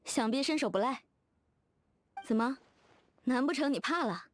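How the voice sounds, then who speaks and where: A woman's voice: high-pitched, animated, normal speed. A woman voicing a confident, playfully competitive young fashion designer in a video game, direct and teasing. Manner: direct, self-assured, teasing. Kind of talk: scripted video game character dialogue.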